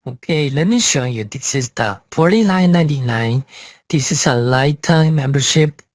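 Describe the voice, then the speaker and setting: A man's voice: medium pitch, expressive, moderate pace, dry recording, clean studio sound. A man giving a blunt, emphatic and casual review through a voice changer. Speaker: software reviewer.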